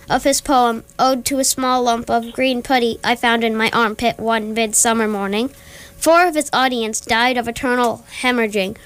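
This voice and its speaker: A young woman reading scripted comic narration for a radio play in a dry, measured way. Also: fairly high pitch, fairly bright tone.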